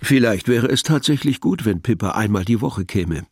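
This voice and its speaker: A man's voice: mid-range voice, expressive, normal speed, breathy edge. A man giving scripted literary narration in an audio production. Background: music.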